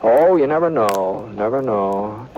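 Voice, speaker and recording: An American actor playing an easygoing frontier gunman who also narrates, in halting, folksy radio western acting. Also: on the low side, animated. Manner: halting, folksy drawl with many hesitations. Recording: noisy dull old radio broadcast.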